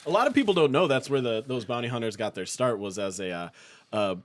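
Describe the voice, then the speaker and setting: A man's voice: mid-range voice, lively intonation, quick pace. A man in his twenties hosting a podcast, joking playfully and irreverently in casual group banter. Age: 20s. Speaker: podcast host.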